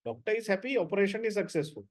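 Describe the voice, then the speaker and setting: A man's voice: high-pitched, big pitch swings, racing. A man lecturing students in an online class, blunt and repetitive, often checking with "right?".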